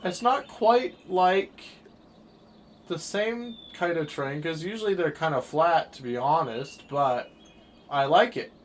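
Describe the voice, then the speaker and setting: A twenty-year-old man streaming a game and chatting casually, rambling through his thoughts with sudden bursts of excitement. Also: a little high, a little slow, slight background noise. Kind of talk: casual chat while livestreaming a game.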